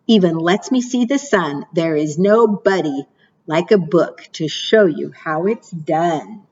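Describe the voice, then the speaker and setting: A woman librarian reading picture books and leading rhymes for preschoolers in a slow, encouraging sing-song way. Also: on the low side, expressive, a little mellow, muffled recording. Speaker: children's librarian.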